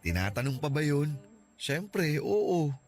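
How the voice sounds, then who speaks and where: A devoted young boyfriend at his sick love's bedside, gentle and reassuring yet anguished. His voice sits a bit high for a man, with faint music under this radio drama. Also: big pitch swings, takes it slowly, heavily acted. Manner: gentle and reassuring, rising into tearful begging.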